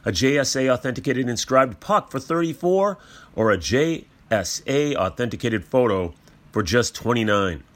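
A man reads a scripted commercial as a brisk sales pitch, running through items and prices. His pitch moves in a lively, animated way. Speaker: advertiser. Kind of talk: read commercial.

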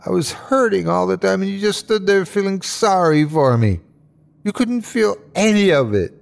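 A man voicing an anguished dying man in a horror story, his voice strained and halting as he speaks in short bursts. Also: medium pitch, expressive, gravelly, darkish tone. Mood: bitter hatred. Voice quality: strained, sometimes whiny or growling. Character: dying man, frightened, awed, turning bitter and hateful. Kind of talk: dramatic horror story reading.

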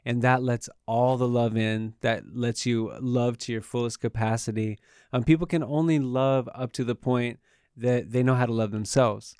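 An earnest man, a tantra teacher and podcast guest, calmly explaining his ideas. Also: medium pitch, moderate pace, clear, resonant tone, no echo, studio quality. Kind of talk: calm explanatory interview talk.

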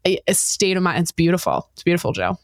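A woman's voice: fairly low pitch, big pitch swings, fast talker, creaky, dry recording. A woman hosting a podcast interview who asks long, rambling questions and reacts with effusive enthusiasm. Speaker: podcast host.

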